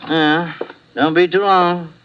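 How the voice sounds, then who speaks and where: Hesitant night watchman, high-pitched, folksy, clipped phrases, dull radio drama recording. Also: fairly even intonation, slow pace, music in the background. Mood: hesitant, chatty. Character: hesitant, chatty male night watchman, easily bribed. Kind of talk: old-time radio drama acting.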